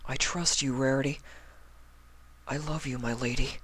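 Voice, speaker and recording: A man's voice: mid-range voice, steady tone, moderate pace, a bit bright, voice pushed up, natural voice. A man voicing a sweet, earnest young boy dragon in a storybook reading. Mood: frightened, fading.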